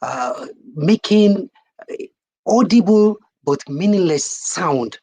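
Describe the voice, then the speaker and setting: A man in an explanatory conversation, pausing to find his words. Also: high voice, expressive, a little mellow, husky. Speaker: co-presenter explaining language.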